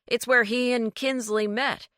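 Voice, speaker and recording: A woman's voice: a little high, steady tone, a little slow, a bit bright, no room sound, clean studio sound, pitched above the performer's own voice. A woman voicing an anxious young woman who pleads and then barks orders. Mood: urgent. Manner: urgent, pleading, bossy.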